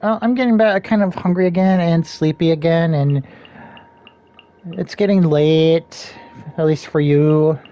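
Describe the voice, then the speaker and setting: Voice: medium pitch, fairly even intonation, a little slow, highs cut off. A game streamer chatting casually while playing, rambling and half muttering to themself. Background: soft game music.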